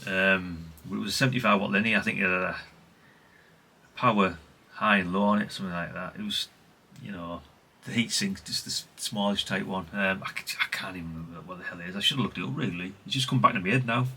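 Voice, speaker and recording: Middle-aged British male hobbyist host, forward and raspy tone, informal, wandering delivery full of self-corrections. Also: sits low, quick pace, pushed and bright. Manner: chatty, rambling, self-correcting, tag questions. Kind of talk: casual rambling show-and-tell.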